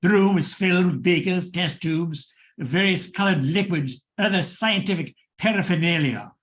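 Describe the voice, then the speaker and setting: Man, fairly high voice, radio drama narrator, scripted scene-setting. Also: normal speed, a bit bright.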